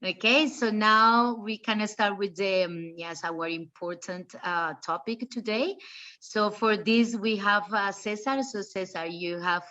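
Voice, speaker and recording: A woman's voice: mid-range voice, unhurried, pushed and bright. Hesitant Colombian woman, dull call audio. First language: Spanish. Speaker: association founder, event host. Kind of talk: informal online presentation.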